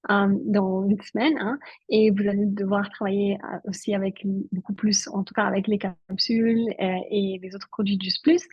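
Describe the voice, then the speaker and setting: A woman's voice: mid-range voice, husky, no echo, lo-fi sound. A woman from Switzerland whose first language is Italian, speaking as an interview guest in a chatty way, with many filler words. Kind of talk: casual interview talk.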